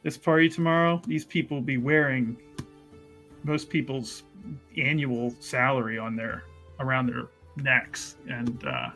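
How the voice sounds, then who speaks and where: A man's voice: mid-range voice, fairly even intonation, measured pace, sharp tone, soft background music. A man playing a scheming, self-important nobleman-like character in a tabletop game, with formal, silky persuasion. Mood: persuasive, scheming.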